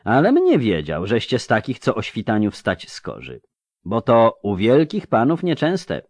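A man's voice: lowish voice, wildly swooping pitch, unhurried, a little mellow, no room sound, voice pushed up, heavily acted. Man playing a folksy rustic steward, swooping intonation. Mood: conceding. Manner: folksy, archaic country speech.

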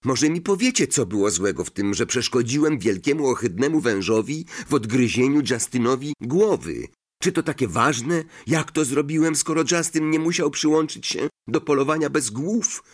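A man's voice: mid-range voice, animated, moderate pace, breathy edge, voice pushed up. A man voicing an indignant, bewildered boy wizard in an audiobook, protesting and stammering defensively. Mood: indignant, alarmed. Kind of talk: audiobook character acting.

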